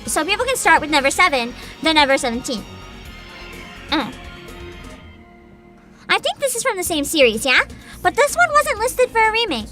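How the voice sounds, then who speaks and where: A woman with a high, bright voice hosts a casual livestream. Her pitch moves around a lot as she chats and reads aloud with bubbly enthusiasm. Soft music plays behind her. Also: very animated, moderate pace, pauses often. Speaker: virtual streamer host. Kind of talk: casual livestream chat, partly reading aloud.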